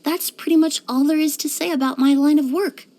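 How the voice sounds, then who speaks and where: A young woman game character, a composed perfumer. Her voice sits high, and she speaks with measured courtesy. The delivery is scripted, softly formal and even-tempered. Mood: matter-of-fact, composed.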